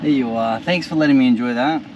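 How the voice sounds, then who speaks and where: A man's voice: medium pitch, fast, lo-fi sound. A young Australian man with an easygoing, chatty manner, vlogging his travels and talking to his dog and viewers. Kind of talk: casual travel vlog. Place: outdoors on a beach and in town. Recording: outdoor camera audio with loud background noise.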